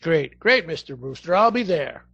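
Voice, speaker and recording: A man's voice: on the high side, sing-song, normal speed, creaky, pushed and bright, husky, highs cut off, exaggerated voice. A man playing a chatty, eager policeman in a radio play, folksy and insistent.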